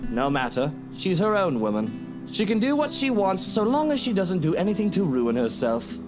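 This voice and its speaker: An actor playing a cold, dismissive wealthy gentleman in a visual novel, speaking in a clipped, condescending way. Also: sits high, huge pitch swings, normal speed. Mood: amused disdain. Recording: music behind the voice.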